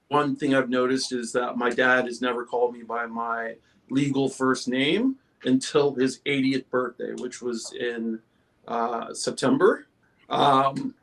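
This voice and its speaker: A middle-aged, male-sounding panelist shares personal reflections in a casual group talk. The voice sits at an ordinary pitch and moves slowly, with a halting, thoughtful delivery. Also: a bit bright.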